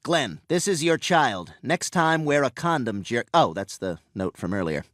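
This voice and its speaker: A man voicing a sleazy, crude, excitable bachelor in an animated comedy. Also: medium pitch, measured pace, dry recording, clean studio sound. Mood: exasperated.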